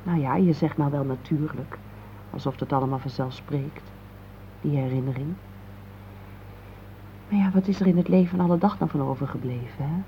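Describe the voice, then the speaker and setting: Wistful wife in an old radio play, reflective, hesitant, muffled n a bit hissy. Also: female voice, sits low, normal speed, pauses often. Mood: melancholy, searching. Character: wistful married woman longing for lost romance. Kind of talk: Dutch radio play acting. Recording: dull, noisy old radio recording.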